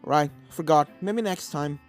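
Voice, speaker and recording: A man voicing a brash, muscled unicorn stallion who is forceful and eager in a story reading. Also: fairly high pitch, moderate pace, faint music behind, close to an everyday voice.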